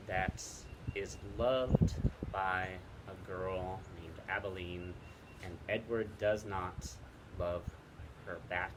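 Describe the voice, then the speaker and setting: A middle-aged man, a teacher, casually recommending and reading books aloud outdoors, pausing often mid-sentence. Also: low-pitched. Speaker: teacher and read-aloud co-host. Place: outdoors in wind. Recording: wind noise on the microphone.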